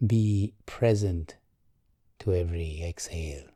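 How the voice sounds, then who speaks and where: A man guiding a meditation in a calm, gentle, measured way, leaving long pauses. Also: low-pitched, no room sound, studio quality. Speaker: meditation guide. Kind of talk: guided meditation, slow and soothing.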